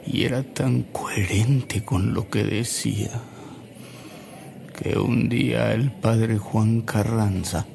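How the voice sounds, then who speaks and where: Gravelly, slow-spoken elderly gentleman. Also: fairly low pitch. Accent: Mexican. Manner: courteous, reverent, unhurried storytelling. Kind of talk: Spanish-language radio drama acting.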